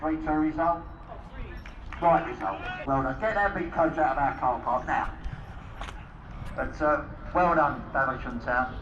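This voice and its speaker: A man's voice: fairly high pitch, a little slow, pauses often. A man making a hearty announcement over a stadium public-address system. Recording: distant, dull PA speaker from far off.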